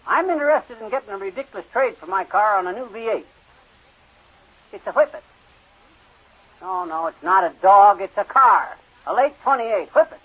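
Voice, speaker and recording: A folksy, argumentative husband from a vintage radio comedy. His high voice swings widely in pitch as he blusters and stubbornly defends his penny-pinching ways. The recording is dull and old. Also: expressive, normal speed, long pauses, bright, forceful tone, husky, exaggerated voice. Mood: loud, eager, indignant. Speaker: comic lead in a radio sitcom.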